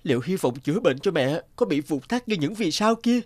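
Man voicing an earnest, animated young fiddler. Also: on the high side, a little fast, lightly acted. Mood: anxious. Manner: earnest, expressive. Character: devoted, kindhearted young male fiddler. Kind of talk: cartoon fairy-tale dubbing. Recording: music under the voice.

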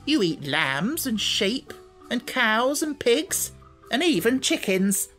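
A woman voicing a brisk, self-assured talking hen in a storybook, with a matter-of-fact, argumentative manner. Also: on the high side, sing-song, a bit bright, soft background music, pitched above the performer's own voice, exaggerated voice. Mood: puzzled, argumentative. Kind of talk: storybook character voice.

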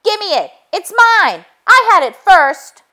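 An eager, childish storybook pig, played by a woman. The voice is high and lively, insistent like a squabbling kid. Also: takes it slowly, heavily acted.

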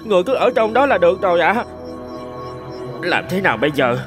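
A man's voice: high voice, very animated, brisk. An actor voicing a timid, honest young servant man in a cartoon, stammering. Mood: frightened. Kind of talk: animated cartoon dubbing. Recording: music behind the voice.

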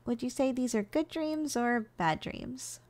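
A woman playing a gentle, doting giantess secretary in an ASMR role-play, coaxing and nurturing in manner. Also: on the high side, lively intonation, a little slow. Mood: calm, soothing. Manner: soft, coaxing, nurturing, teasingly affectionate. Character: gentle, doting giantess secretary caring for her shrunken boss.

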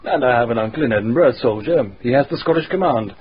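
A man's voice: mid-range voice, animated, quick pace, lightly acted. Man playing breezy posh young gent in a radio play, mid voice, dull sound with faint hiss. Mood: breezy, urgent. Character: flippant, well-connected young English gentleman. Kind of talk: radio drama acting. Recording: muffled radio recording, faint noise.

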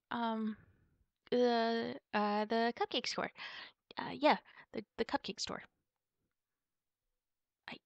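A woman's voice: on the high side, gravelly, dry recording. A woman playing a nervous, twitchy wolf girlfriend in an ASMR roleplay, stammering and hesitant as she hides something.

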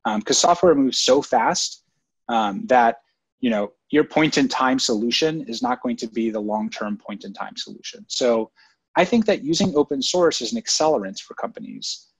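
A man's voice: mid-range voice, expressive, normal speed, husky, no echo. A man answering interview questions in a thoughtful, conversational way, with frequent ums and restarts. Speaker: guest, former government technology leader. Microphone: online call audio. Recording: dull, dry lo-fi online audio.

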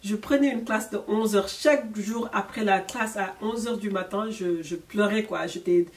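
A woman's voice: medium pitch, normal speed, recorded from a distance. A young woman from Senegal chatting candidly in a storytime vlog, laughing often and slipping English words in. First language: French. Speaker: YouTuber. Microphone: camera at chest-up framing.

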